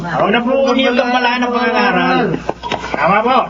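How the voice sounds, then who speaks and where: A woman's voice: a little high, fairly quick, natural voice. A woman voicing an insistent, dismissive Filipino follower in a radio horror drama. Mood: insistent, hostile. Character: insistent follower driving a visiting priest away. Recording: distant, dull, very noisy sound.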